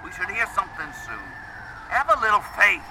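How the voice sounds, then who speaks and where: An actor playing a blustery, loyal older grandfather and fixer, brisk, emphatic and wry, in an audio drama. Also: high voice, sing-song, noisy background. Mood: firm, loyal. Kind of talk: naturalistic audio drama acting.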